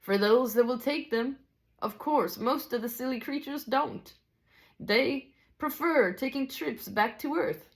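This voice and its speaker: A woman voicing a wise old Scottish teacher, patient and deliberate, with an attempted Scottish accent and old-fashioned phrasing. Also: high voice, voice pushed up. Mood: patient, instructive.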